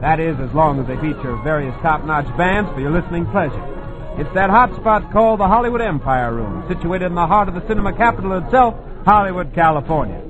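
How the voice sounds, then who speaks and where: A man's voice: a little high, animated, brisk. An American man hosting a 1940s radio jazz show as a jive-talking, rhyming showman. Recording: muffled old radio recording, music behind.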